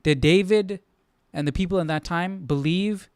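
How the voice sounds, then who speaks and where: Clear-voiced man casually hosting a livestream study. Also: sits high, normal speed, darkish tone, dry recording. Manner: informal, thinking aloud, often checking with "right?". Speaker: host of a live Bible study stream. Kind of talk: casual live commentary on scripture.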